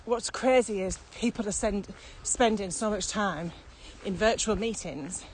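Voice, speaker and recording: A woman's voice: mid-range voice, unhurried, rough recording. A woman chatting casually as a podcast co-host. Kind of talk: casual conversational podcast chat. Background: steady ambient noise.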